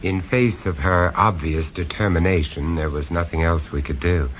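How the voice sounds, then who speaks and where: A man narrating a radio horror story in the first person, measured and dramatic, with dry sarcasm when he speaks to the others. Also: low voice, fairly even intonation, slight background noise, natural voice. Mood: grave. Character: grave, wry male storyteller on a castle tour.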